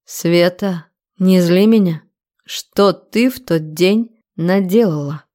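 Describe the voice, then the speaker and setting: A woman voicing a furious, menacing young man in an audiobook, speaking in clipped, deliberate phrases. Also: lowish voice, mellow. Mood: menacing anger.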